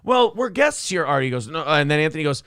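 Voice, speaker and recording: Guy hosting a chat show, kinda high voice, super animated, swears a lot, dry close mic. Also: quick pace, clean tone, no room sound. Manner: profane, rambling, does silly quote voices. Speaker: podcast host. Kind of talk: casual banter show.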